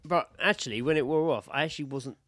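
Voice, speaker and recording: A man's voice: a little high, fast, fairly bright tone, no echo, studio quality. A British man in his thirties co-hosting a podcast, telling anecdotes in a casual, matey, sweary chat. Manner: sweary, matey storytelling, quoting himself and others. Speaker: podcast co-host.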